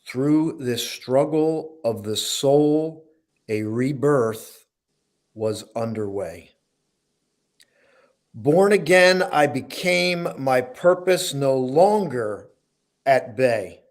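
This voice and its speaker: A man's voice: medium pitch, animated, very slow. A man with a mid-range voice speaks as a show host. His delivery is heartfelt and earnest, with an informal feel suited to talk and poetry. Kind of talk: heartfelt conversational show hosting with poetry recital.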